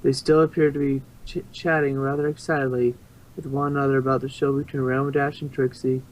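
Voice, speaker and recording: A man's voice: mid-range voice, level tone, fairly quick, a little hiss or hum, rough recording. Male story narrator, middle register, unpolished read with stumbles and retakes. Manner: stumbles and rereads phrases. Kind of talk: amateur story reading.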